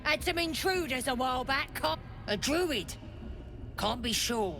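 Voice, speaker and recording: High-voiced grumbling goblin guard. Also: female voice, animated, measured pace. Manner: rough, slangy. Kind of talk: video game acting.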